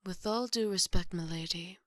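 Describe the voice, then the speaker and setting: Woman playing a low-voiced, strict head maid. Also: no room sound, studio quality, lightly acted. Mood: scolding. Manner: formal, measured, scolding. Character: strict, dryly sarcastic chief maid of an estate. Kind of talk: ASMR roleplay acting.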